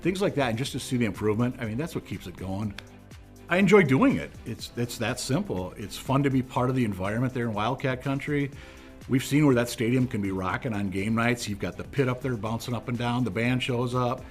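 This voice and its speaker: A man's voice: mid-range voice, animated, fairly quick, faint music behind. A man who announces local school games, talking in an easygoing, earnest way in an interview. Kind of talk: interview talk.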